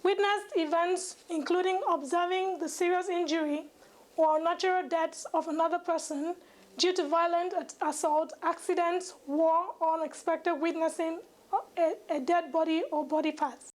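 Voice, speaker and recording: Very high-pitched young woman presenting formally. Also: steady tone, moderate pace. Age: young adult. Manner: formal, careful reading with occasional stumbles. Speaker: medical student presenter. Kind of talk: scripted educational presentation.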